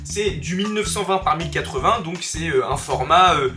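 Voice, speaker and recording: A young man with a cold, talking viewers through a software tutorial in a chatty, joking way full of crude slang. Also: on the high side, expressive, brisk. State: has a cold. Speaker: YouTuber teaching software. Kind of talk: casual screen-recorded tutorial. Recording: roomy room with background music.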